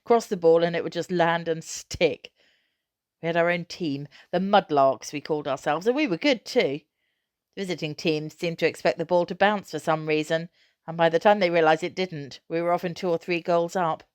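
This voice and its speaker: Lower-pitched woman reading a storybook. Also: normal speed, clear, resonant tone, no room sound. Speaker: storybook reader. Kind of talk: expressive scripted narration of a children's novel.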